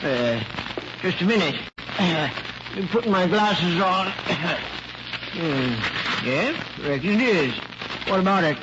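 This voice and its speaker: An actor playing an older, folksy, chuckling retired country doctor who rambles and repeats himself in 1940s radio drama. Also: male voice, sits high, lively intonation, takes it slowly, long pauses. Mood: wry, unhurried. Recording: noisy old radio recording.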